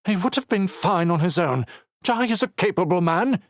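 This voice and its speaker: A man's voice: on the high side, very animated, normal speed, no room sound, clean studio sound, voice pushed up. A man voicing a haughty, sneering councilwoman who speaks in a clipped, disdainful way.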